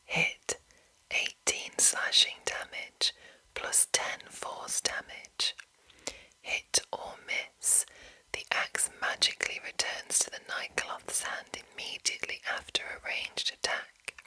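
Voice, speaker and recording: A woman whispering in a breathy, unvoiced voice as an ASMR host, with careful, gentle enunciation. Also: takes it slowly, low-quality recording. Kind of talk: whispered ASMR, reading and chatting.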